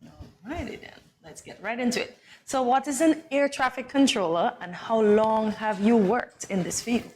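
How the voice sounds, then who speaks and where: A woman's voice: fairly high pitch, normal speed, darkish tone. A woman presenting a morning show interview as host. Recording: faint background noise.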